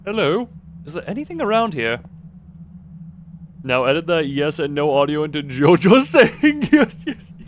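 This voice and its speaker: A man with a fairly high, very animated voice gives casual commentary on a game stream. He rambles, restarts and rephrases as he chats to viewers, with noticeable noise behind him. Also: moderate pace, pauses often. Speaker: live streamer playing a horror game.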